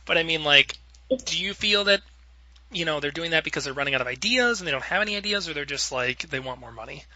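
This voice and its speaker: A man's voice: fairly high pitch, expressive, quick pace, bright, forceful tone, dry recording. A man hosting a casual panel discussion, rambling and chatty as he puts questions to his guests. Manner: rambling, chatty, acts out imagined quotes. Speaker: podcast host.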